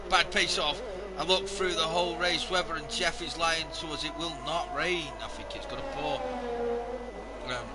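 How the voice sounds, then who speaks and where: A man commentating a live sim racing stream, hoarse and losing his voice, joking wearily with the chat. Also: fairly high pitch, lively intonation, unhurried, very noisy. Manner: weary, self-mocking banter with the chat.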